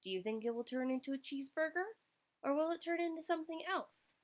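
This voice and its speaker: A woman's voice: high voice, quick pace. A woman storyteller reading a picture book aloud to young children, expressively, addressing her listeners directly.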